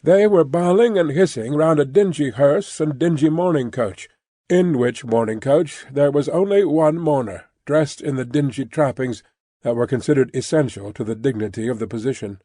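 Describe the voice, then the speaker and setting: A man reading an audiobook as scripted literary narration, in a measured, formal storytelling manner. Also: medium pitch, warm tone, dry recording, clean studio sound.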